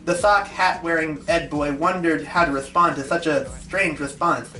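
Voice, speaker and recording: A man's voice: fairly high pitch, fairly even intonation, normal speed, pushed and bright, faint music behind, poor audio. A man reading fan fiction aloud and commenting on it as the show's host.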